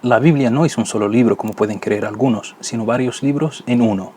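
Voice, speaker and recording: A man who is not a native Spanish speaker, hosting and narrating a scripted religious lesson in a measured, didactic way. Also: mid-range voice, dark tone, slight background noise. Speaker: host.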